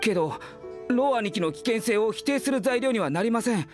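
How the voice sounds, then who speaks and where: Worried young undertaker dude, anime game, serious brooding, music playing behind. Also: male voice, sits high, lively intonation, a little fast. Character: earnest, worried young adult male undertaker. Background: background music.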